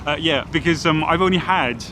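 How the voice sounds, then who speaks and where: A chatty, self-assured man with a Welsh accent that carries a Geordie tinge, his voice sitting a touch high for a man. He is recorded on a phone outdoors, with traffic and city noise clearly audible behind him. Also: a little fast. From Wales. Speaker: celebrity fashion stylist. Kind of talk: casual on-the-street chat. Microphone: phone camera held by the other person.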